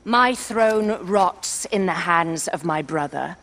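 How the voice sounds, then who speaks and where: A woman's voice: lowish voice, lively intonation, takes it slowly, fairly bright tone, exaggerated voice. An actress playing a proud, commanding young Egyptian queen in a cinematic game. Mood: agitated, defiant. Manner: commanding, regal.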